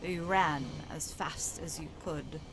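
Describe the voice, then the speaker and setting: An actress plays a dead woman's spirit in a fantasy game, solemn and all-knowing. She speaks in a slow, lowish voice, measured and portentous, trailing into hushed endings. A low rumble sits faintly underneath. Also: heavily acted, low-quality recording. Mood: cold, accusing. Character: long-dead sister's spirit, solemn, knowing, finally forgiving. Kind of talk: dramatic video game mod voice acting.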